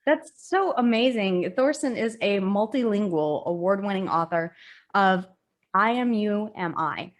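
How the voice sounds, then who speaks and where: A woman's voice: medium pitch, animated, measured pace. A woman hosting an interview, upbeat and enthusiastic as she asks questions and reacts. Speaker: interview host. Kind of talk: casual interview chat.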